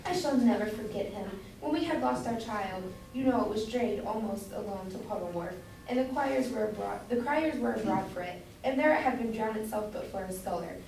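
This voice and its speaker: A woman's voice: medium pitch, animated, a little fast, vocal fry, few pauses. A woman playing a fussy, doting, meddlesome London citizen's wife in an amateur staged reading of a Jacobean comedy. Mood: fond. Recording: distant echoey room, background hiss.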